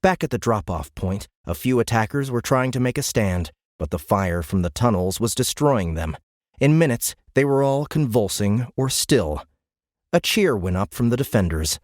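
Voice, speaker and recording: A man narrating a military science fiction audiobook in a measured, grave, dramatic way. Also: medium pitch, expressive, no echo, clean studio sound. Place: dry recording studio.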